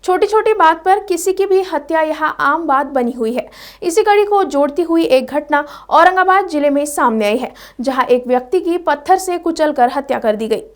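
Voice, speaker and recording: A woman's voice: sits high, moderate pace. A woman presenting scripted news as the anchor of a bulletin.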